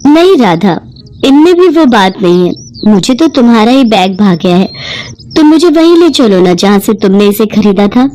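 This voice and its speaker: A woman voicing a well-off, kindly and enthusiastic married lady, encouraging and expressive, in a cartoon moral story. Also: mid-range voice, normal speed, soft background music, lightly acted. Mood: keen, curious.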